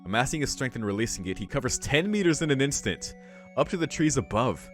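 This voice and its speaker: A man reading a visual novel's story aloud for a let's play, breaking into casual, excitable reactions with some swearing. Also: mid-range voice, fast talker. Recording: music behind the voice.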